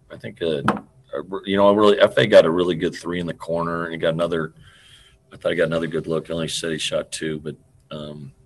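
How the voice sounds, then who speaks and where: Middle-aged coach guy on a video call, super deep monotone, halting answers, slight hiss. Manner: halting, restarts sentences, trails off quietly. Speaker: head basketball coach. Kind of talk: postgame press conference answers. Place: indoor room in front of a team backdrop. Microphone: video call computer mic.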